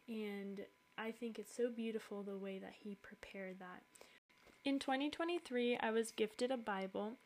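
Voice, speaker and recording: A woman from the United States hosting a podcast, sharing a personal faith monologue in an earnest, reflective way. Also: mid-range voice. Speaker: podcast host.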